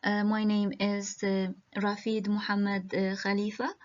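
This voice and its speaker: A woman from Iraq giving an academic conference presentation over a video call, halting and full of hesitations. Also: medium pitch, monotone. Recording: muffled lo-fi video-call audio.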